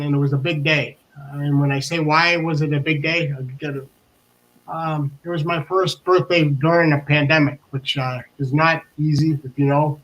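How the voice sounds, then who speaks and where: Male storyteller, higher-pitched, informal and hesitant, circling back over his words. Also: pushed and bright, poor audio. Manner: hesitant, halting, repeats words.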